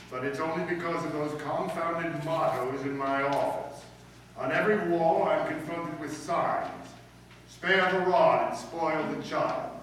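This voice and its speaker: A man plays a pompous school principal in a radio comedy, laying on florid mock humility in a slightly high voice. He is heard from well back in a very echoey room. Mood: pleading, florid. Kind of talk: old-time radio comedy acting before an audience. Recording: echoey room, distant mic, faint noise.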